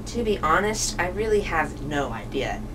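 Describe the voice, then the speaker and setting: A woman voicing a proud, reserved female witness in a puppet show, with formal, measured wording. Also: on the low side, sing-song. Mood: cool, reserved. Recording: distant microphone, noisy room.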